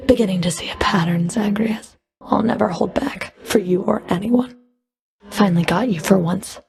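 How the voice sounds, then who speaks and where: A woman playing a stern, scornful female Fury in a video game, curt, cool and commanding, with a breathy edge to her voice. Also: mid-range voice, a little mellow. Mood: cool triumph. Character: stern, scornful female Fury, a whip-wielding underworld enforcer. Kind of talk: video game combat voice lines.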